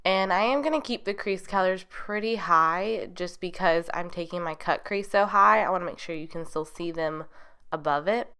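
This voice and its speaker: Chatty young woman, nasal, bright. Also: lowish voice, moderate pace, clean tone. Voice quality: nasal from a cold. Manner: chatty, rambling, enthusiastic. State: getting over being sick. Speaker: beauty vlogger. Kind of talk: makeup tutorial voice-over.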